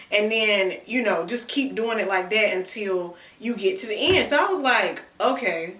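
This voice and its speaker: A woman in her thirties chatting casually to camera, answering viewer questions with relaxed slang. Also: mid-range voice, recorded from a distance. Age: 30s. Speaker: content creator. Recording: echoey room, mic set back.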